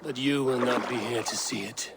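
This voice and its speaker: An actor playing a grave, proud and resolute warrior god in a video game, in a measured, solemn way. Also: male voice, medium pitch, steady tone, creaky, natural voice. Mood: stern, cold. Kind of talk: video game cutscene acting. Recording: noisy game stream capture.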